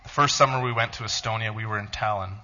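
A man, a pastor, reading a blog aloud to his church in a halting, emotional voice. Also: lowish voice, steady tone, bright, forceful tone, dull audio. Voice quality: tearful, halting.

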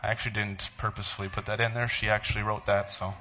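Man, low voice, bright tense tone, halting and tearful, muffled lo-fi church recording. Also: little pitch movement. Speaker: pastor. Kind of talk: church talk reading a blog aloud.

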